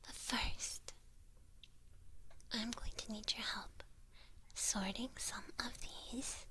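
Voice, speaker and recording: A woman playing a kindly witch who gently instructs her apprentice in a breathy, unhurried ASMR whisper. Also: fairly high pitch, fairly even intonation. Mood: gentle, pleased.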